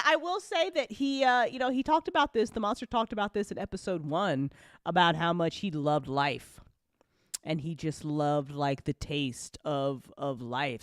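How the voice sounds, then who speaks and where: A woman's voice: lowish voice, no echo, clean studio sound. A woman chatting casually and informally, with a joking manner. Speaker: audio drama after-show participant. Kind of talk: casual chat.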